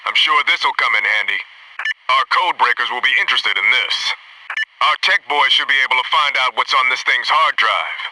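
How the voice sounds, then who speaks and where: An actor playing a disciplined, dryly wry SEAL in a military shooter game, giving clipped, businesslike reports. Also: male voice, medium pitch, normal speed, distant mic, noisy background, natural voice. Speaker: special forces teammate reporting to headquarters.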